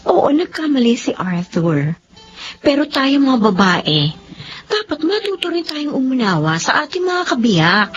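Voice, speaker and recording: A woman's voice: high-pitched, sing-song, unhurried, dull audio. A woman playing an earnest, peacemaking married woman in a Filipino radio drama. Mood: pleading.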